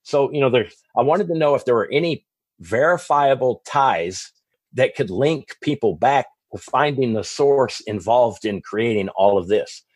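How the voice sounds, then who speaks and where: A man's voice: mid-range voice, measured pace, slightly rough, no echo. A man with a Texas accent, a guest author giving long, rambling answers in a podcast interview, often restarting and correcting himself. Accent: Texan.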